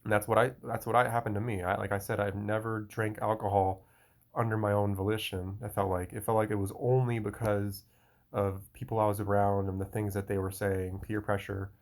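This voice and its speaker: Young adult man, lowish voice, loose rambling solo talk with many restarts. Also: flat delivery, a little fast. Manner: rambling, frequent restarts and fillers. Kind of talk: casual solo monologue.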